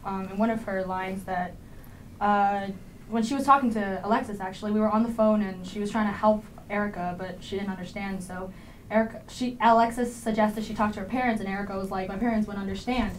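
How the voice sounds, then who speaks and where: A woman's voice: medium pitch, fairly even intonation, a little fast. Teenage girl on a noisy interview panel. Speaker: student actor being interviewed. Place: indoor panel, seated group. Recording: clear background room noise.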